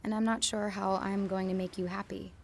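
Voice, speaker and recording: A woman's voice: medium pitch, flat delivery, a little fast, gravelly, darkish tone, a little hiss or hum. A young woman with a mid-range voice gives a radio drama monologue. She speaks hesitantly and wistfully and at times sinks into a near whisper. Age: young adult. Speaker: monologue performer.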